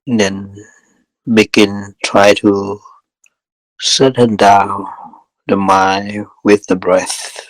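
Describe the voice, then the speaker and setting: Male meditation guide, lowish voice, flat calm delivery in short measured phrases, close dry sound. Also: no room sound, rough recording. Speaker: meditation teacher leading a guided session.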